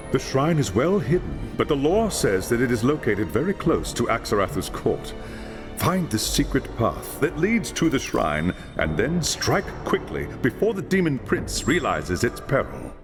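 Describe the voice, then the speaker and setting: A grave commanding lawmaster. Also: male voice, mid-range voice, in a large hall. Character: solemn older male high lawmaster, commanding mentor.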